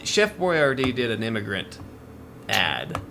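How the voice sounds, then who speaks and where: A man's voice: medium pitch, sing-song, slow pace. A man hosting a casual group podcast, chatting with his co-hosts. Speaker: podcast host. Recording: faint background noise.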